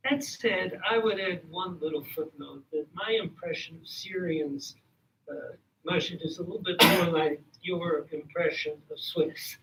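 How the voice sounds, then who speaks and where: A man speaking on a policy panel in a measured way with dry humor. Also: fairly high pitch, creaky. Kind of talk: panel discussion. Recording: distant mic, faint room noise.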